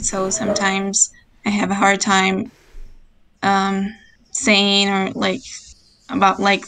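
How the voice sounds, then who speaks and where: A woman in her twenties with a Brazilian Portuguese accent, introducing herself shyly with many pauses. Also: mid-range voice. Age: 20s. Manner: shy, hesitant, many ums. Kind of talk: self-introduction on a call.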